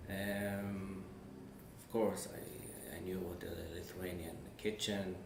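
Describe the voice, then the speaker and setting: Low, gravelly Israeli man, halting speech. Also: little pitch movement, a little mellow. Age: 40s. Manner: halting, searching for words, repeats word beginnings. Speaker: interviewee sharing family history. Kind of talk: personal oral-history recollection. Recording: echoey room with faint background noise.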